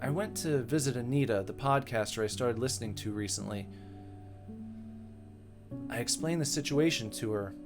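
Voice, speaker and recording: A man's voice: medium pitch, normal speed, pauses often, lightly acted. A man voicing a composed, matter-of-fact young woman who is caring and determined, in a dramatic visual novel reading. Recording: music behind the voice.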